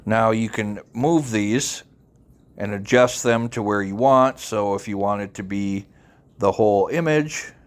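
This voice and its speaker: A man with a fairly low voice presents a software tutorial. He speaks informally and without a script, instructing one step at a time. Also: unhurried, smooth. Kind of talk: casual, unscripted software tutorial narration.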